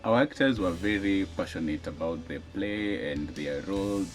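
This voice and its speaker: A Kenyan man explains in an interview setting. His voice is a little low, and faint music plays underneath. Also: moderate pace.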